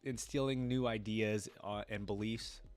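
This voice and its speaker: A man hosting a reaction video in an informal way, reading aloud and thinking out loud as he comments. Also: lowish voice, steady tone, measured pace, smooth, soft background music.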